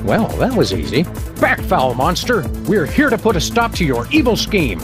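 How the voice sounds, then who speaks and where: An earnest cartoon dog detective with an American accent. The delivery is wordy, hammy and mock-official, very animated in pitch, with loud music and rain behind. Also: male voice, normal speed. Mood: heroic. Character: earnest, unflappable male dog detective, freelance police. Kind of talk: animated comedy game voice acting.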